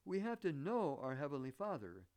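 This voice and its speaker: A male preacher speaks in a slightly high voice with plenty of rise and fall. His American accent has picked up a Southern color. He delivers his sermon earnestly and deliberately, as someone teaching step by step. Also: very animated, darkish tone. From northern United States. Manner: earnest, deliberate, rhetorical questions. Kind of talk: sermon with illustrative anecdotes.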